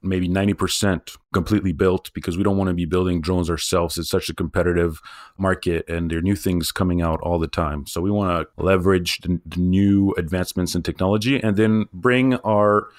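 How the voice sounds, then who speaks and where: A man's voice: low voice, steady tone, fairly quick, no room sound, studio quality. A man who co-founded a drone startup, talking loosely about his work with frequent "uh"s and restarts. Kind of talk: casual interview talk.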